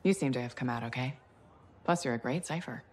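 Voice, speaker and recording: A woman's voice: a bass voice, brisk, a little mellow. A woman acting a confident, friendly veteran mercenary pilot in a video game, casual, wry and straightforward. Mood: curious.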